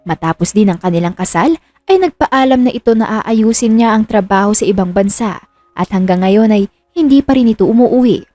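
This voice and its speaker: Mellow woman narrator, very dry sound. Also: mid-range voice, dry recording. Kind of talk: scripted narration of romantic drama. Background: music.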